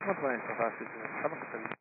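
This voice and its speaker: A man's voice: mid-range voice, very fast. Man pilot on very noisy cockpit radio. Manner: clipped, procedural phrasing. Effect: radio transmission. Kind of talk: radio readbacks to air traffic control. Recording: phone-like cockpit radio, loud static.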